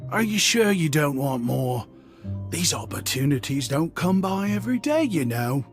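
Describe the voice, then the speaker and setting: Breezy young shopkeeper, lively tone, a little high, friendly and salesy, soft game music. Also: male voice, normal speed. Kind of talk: video game voice acting.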